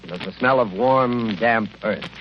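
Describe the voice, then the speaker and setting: A man's voice: fairly low pitch, measured pace. A man hosting and announcing in a polished, emphatic 1940s radio style. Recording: muffled, noisy old radio broadcast.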